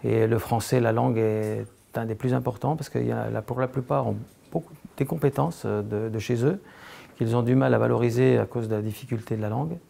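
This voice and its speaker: A man's voice: medium pitch, steady tone, a little fast, creaky, dark tone, slight background noise. A man speaking in a documentary interview about refugee trainees, hesitant and restarting his phrases. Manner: hesitant, restarts often, plain speech.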